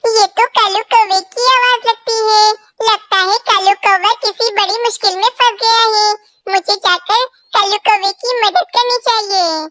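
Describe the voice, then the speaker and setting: A performer voicing a caring, trusting female little bird with a squeaky childlike voice and earnest manner in a children's cartoon. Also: steady tone, unhurried, sharp tone, heavily acted. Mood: concerned. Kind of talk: children's animated story dialogue.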